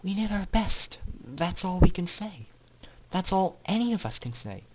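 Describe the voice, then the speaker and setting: Man acting a gentle, sincere pegasus mare. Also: sits high, very animated, unhurried, very noisy, voice pushed up. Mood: consoling. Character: gentle, sincere injured female pegasus pony.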